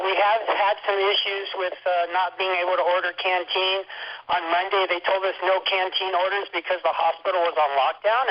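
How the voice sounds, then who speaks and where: A man giving an informal first-hand complaint as a hospital patient, speaking over a telephone conference call. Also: high voice. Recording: muffled lo-fi telephone conference line.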